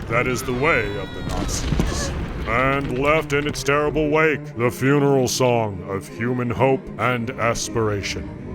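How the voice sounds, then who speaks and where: A grave, ominous story narrator, performed by a man. He speaks slowly at a medium pitch in dramatic scripted narration, with clear music beneath. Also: lightly acted.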